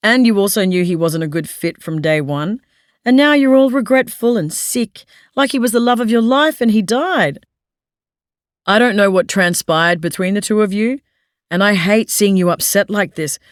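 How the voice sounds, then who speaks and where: A woman's voice: medium pitch, no room sound, clean studio sound, lightly acted. A woman reading the lines of a blunt, earnest grown man who turns tender, in an audiobook. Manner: blunt, forthright, then tender.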